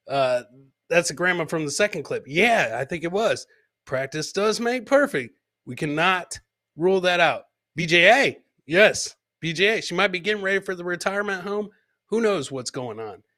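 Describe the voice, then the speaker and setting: A man with a slightly high-pitched voice hosts a comedy clip show. He comments casually, as if chatting live, and likes to repeat a phrase for comic emphasis. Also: fairly bright tone.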